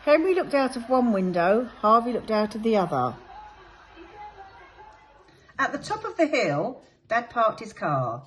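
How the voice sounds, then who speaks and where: A woman's voice: a little high, very animated, measured pace, long pauses, a faint crowd, low-quality recording. A woman teacher reading a picture book aloud to young children, telling the story expressively and dramatically. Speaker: infant school teacher.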